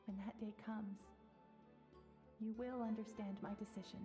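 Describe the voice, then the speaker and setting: An actress playing a serene, wise woman mentor in a fantasy game, speaking in a measured, gentle, solemn way. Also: medium pitch, steady tone, natural voice. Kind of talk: dramatic fantasy game voice acting. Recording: music behind the voice.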